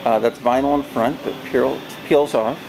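Gravelly man touring a booth. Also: mid-range voice, fairly even intonation, over music. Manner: plain, unhurried sales description with many pauses and fillers. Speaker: used trade show booth seller. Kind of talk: walkthrough tour. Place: large warehouse, indoors. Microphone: handheld camera held by the speaker. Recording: noisy warehouse camera audio.

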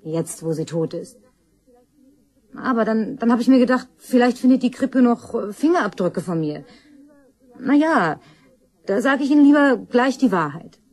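An actress playing a chatty young office worker in a German crime radio play, talking candidly and colloquially. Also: female voice, fairly high pitch, animated, normal speed, long pauses, a little mellow. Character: chatty, slangy young office woman questioned by police.

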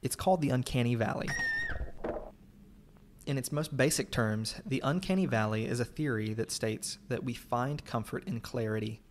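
A man reading scripted video essay narration in a measured, explanatory way. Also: medium pitch, a little hiss or hum.

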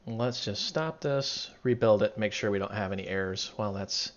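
A man with a voice going raspy, walking through code in a casual tutorial, thinking aloud and correcting himself as he goes. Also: fairly low pitch, normal speed. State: losing his voice, raspy. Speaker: programming tutorial instructor. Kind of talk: casual screen-recorded coding walkthrough. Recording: dull lo-fi mic, keyboard typing behind. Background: keyboard typing and clicking.

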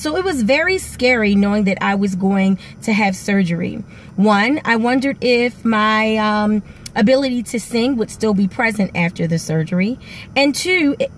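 A woman's voice: medium pitch, a little hiss or hum. A middle-aged woman, a teacher and singer, giving an earnest, encouraging talk to her viewers from a parked car, filmed on a phone held at arm's length. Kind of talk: inspirational vlog talk.

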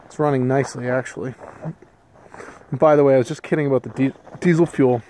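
A man in his thirties hosting a casual homesteading vlog, talking in a relaxed, rambling way with many ums and restarts, recorded on a handheld camera outdoors and in a workshop. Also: medium pitch, quick pace, slight background noise. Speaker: homesteading channel host. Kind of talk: casual hands-on vlog narration. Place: outdoors beside a pickup truck in snow, later a workshop.

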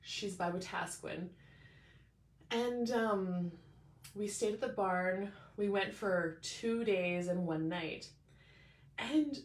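A woman in her thirties, host of her own coffee chat, talking casually and reflectively to a phone camera at home. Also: on the low side. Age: 30s. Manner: animated, reflective, speaks with hand gestures. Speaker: life coach and show host. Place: small room indoors at home. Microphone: phone camera at arm's length. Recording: echoey room.

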